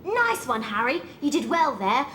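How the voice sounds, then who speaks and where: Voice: high-pitched, sing-song, measured pace, pushed and bright, a little hiss or hum, exaggerated voice. An actor playing a friendly, casual young schoolboy in a game. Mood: chummy.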